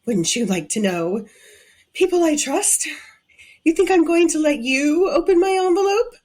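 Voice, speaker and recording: Haughty, theatrical villainess with swooping delivery. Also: female voice, sits high, lively intonation, unhurried, darkish tone. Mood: haughty, irritated. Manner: taunting, imperious, theatrical. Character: haughty, gloating female villain, cruel body-borrowing hotel owner. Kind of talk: scripted audio drama acting.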